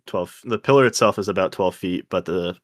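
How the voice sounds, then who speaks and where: Guy running a dnd style game, lowish voice, super informal and chatty, dry sound. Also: fairly quick, no echo. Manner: informal, slangy, conversational. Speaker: game master. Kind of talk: casual tabletop game narration.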